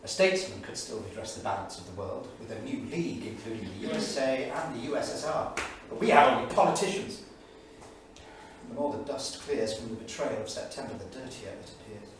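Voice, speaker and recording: A man's voice: medium pitch, animated, brisk, natural voice. A man playing a bitter, grieving 1938 academic, reading his journal aloud in a solemn, literary, emphatic way on stage. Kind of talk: staged dramatic reading of diary entries. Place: small studio theatre, staged reading. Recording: echoey theatre, distant mic, faint noise.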